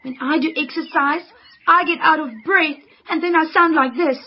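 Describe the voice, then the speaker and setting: A woman's voice: high-pitched, moderate pace, highs cut off, natural voice. A woman voicing an earnest, studious young girl who loves homework and avoids exercise.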